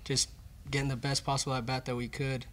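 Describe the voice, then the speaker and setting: A man's voice: mid-range voice, little pitch movement, quick pace, a bit bright. A young man, a college baseball player, earnestly answering questions about his team at a press conference. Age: young adult. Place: indoor press conference room. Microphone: table microphone.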